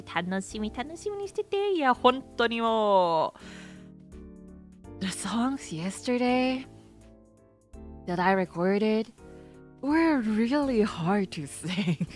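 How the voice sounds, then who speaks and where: Low-voiced woman streamer, giggles a lot, casual chatty talk, soft music in the back. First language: not Japanese. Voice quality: lower voice, prefers low register. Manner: chatty, giggly, switches between English and Japanese. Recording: faint music behind.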